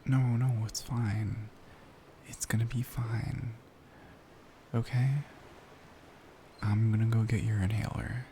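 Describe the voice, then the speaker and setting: A man playing a caring, worried boyfriend in an intimate ASMR roleplay, speaking softly and soothingly, often near a whisper. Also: on the low side, level tone, slow pace, long pauses, slight background noise. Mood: anxious, soothing. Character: caring, worried young boyfriend comforting his partner.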